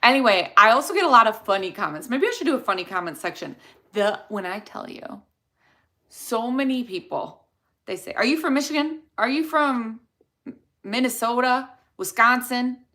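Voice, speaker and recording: A young woman from the Chicago area speaks with a Chicago accent and a bright, lively tone. She talks casually and rambles a little as she reads out viewers' questions and answers them one by one in a live stream. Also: a little high, normal speed. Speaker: dental hygienist, host. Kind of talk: livestream Q&A, answering chat.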